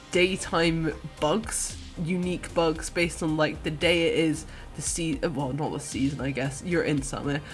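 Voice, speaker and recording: A woman giving an enthusiastic, earnest personal video game review, partly scripted and partly conversational. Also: sits low. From countryside. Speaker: podcast guest reviewer. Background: music.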